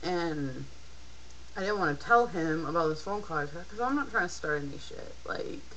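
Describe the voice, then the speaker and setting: A woman's voice: fairly low pitch, expressive, moderate pace, fairly bright tone, a little hiss or hum, rough recording. A tired young woman telling a personal story casually, in a candid, rambling and hesitant way, as a video blogger. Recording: rock song playing in the background. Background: rock music playing behind the voice.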